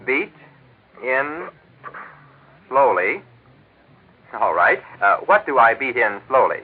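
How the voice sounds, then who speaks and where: A man's voice: mid-range voice, very animated, slow, slightly rough. An American man with a jovial, eager, hammy manner, delivering folksy scripted sponsor patter as a 1940s radio announcer and co-host. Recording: tinny band-limited 1940s radio recording.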